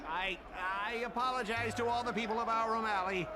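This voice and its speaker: Man acting a high-pitched, flustered arrogant corporate man. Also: fairly even intonation, brisk, natural voice. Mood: grudging humiliation. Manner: stammering, theatrical. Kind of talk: video game voice acting. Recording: background music under the voice.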